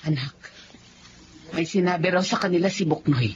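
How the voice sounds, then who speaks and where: A woman's voice: fairly low pitch, normal speed, muffled recording, background noise, natural voice. A Filipino actress playing a caring middle-aged mother in a radio drama, anxious, coaxing and protective of her daughter. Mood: gently worried.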